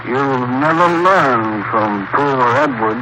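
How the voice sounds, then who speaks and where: Slow, solemn prison official. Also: male voice, mid-range voice, lively intonation. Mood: grave, regretful. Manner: measured, solemn, stern. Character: grave, unyielding prison official on death row. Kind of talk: 1940s radio drama acting. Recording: muffled, noisy vintage radio recording.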